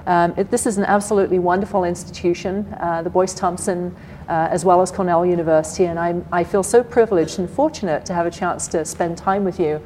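A soft-spoken woman with an English accent giving an academic lecture at a podium, measured and full of fillers. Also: fairly low pitch, fairly even intonation, slight background noise, poor audio. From England. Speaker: guest lecturer. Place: lecture hall.

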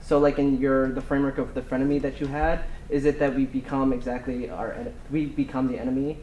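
A man in the audience rushes through a long question, hedging and circling back as he goes. His voice rings a little in a roomy hall, with faint background noise underneath. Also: medium pitch, little pitch movement, mellow, muffled recording. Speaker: audience member asking a question.

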